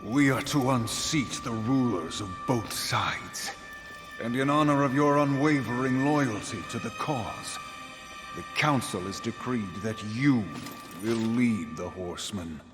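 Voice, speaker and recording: A man's voice: medium pitch, a little slow, natural voice. A man playing a solemn, commanding horseman of the apocalypse in a video game, speaking formally. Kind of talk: video game cutscene acting. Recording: long reverb, music behind.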